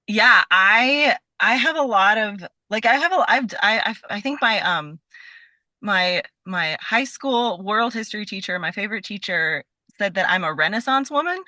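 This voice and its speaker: A woman with a bright, forward voice at an average pitch chats as a podcast guest. She is informal and enthusiastic, rambling through her thoughts, in a dry recording. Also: expressive, normal speed, no room sound. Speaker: podcast guest, scientist and performer. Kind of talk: casual chat.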